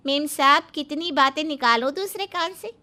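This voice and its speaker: A woman's voice: high voice, moderate pace, sharp tone, pitched above the performer's own voice. A woman voicing a cheeky, put-upon housemaid who complains in a whiny, folksy way in a dramatized story. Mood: exasperated. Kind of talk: dramatized Hindi moral story.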